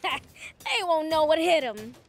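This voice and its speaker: Brash high-pitched little demon boy. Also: lively intonation, slow, soft background music, heavily acted. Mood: cocky. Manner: brash, impatient, bossy. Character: small brash cocky young male demon prince. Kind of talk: video game cutscene acting.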